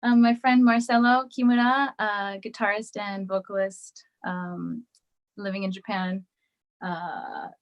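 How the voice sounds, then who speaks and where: A woman singer, a podcast guest, talking softly and hesitantly over a video call, pausing often and restarting her sentences. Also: medium pitch, flat delivery, lo-fi sound. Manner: soft-spoken and hesitant, with many fillers and restarts. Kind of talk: casual video-call conversation.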